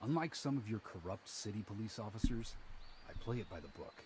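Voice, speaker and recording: An actor playing a gruff, guardedly polite small-town sheriff in a video game. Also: male voice, on the low side, lively intonation, fairly quick, a little hiss or hum, lightly acted. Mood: dismissive, guarded. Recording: loud music over the voice.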